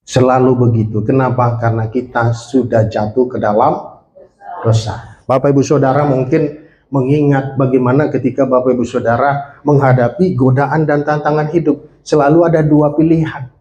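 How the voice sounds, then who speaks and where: A man's voice: mid-range voice, fairly even intonation, moderate pace, warm tone, lo-fi sound. A man in his late forties preaching a sermon with an Indonesian accent, drawing in the congregation with questions and calls for amen. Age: 40s. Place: church. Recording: echoey church hall.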